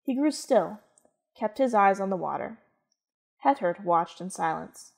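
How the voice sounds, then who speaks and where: Female narrator, mid-pitched, for scripted dramatic storytelling. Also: lively intonation, measured pace, vocal fry.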